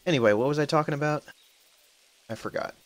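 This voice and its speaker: A man's voice: on the high side, fast talker. A young man of twenty-one talking through a game he plays, rambling and chatty, cursing now and then at what happens on screen. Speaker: gaming channel host. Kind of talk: casual let's-play commentary. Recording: faint background noise, voice drops out when soft.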